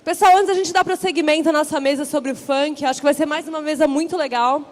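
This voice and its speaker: A woman's voice: high-pitched, steady tone, quick pace. A woman with a São Paulo accent hosting a panel on stage at a large event, chatty and upbeat as she rallies the audience. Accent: São Paulo Portuguese. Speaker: event host.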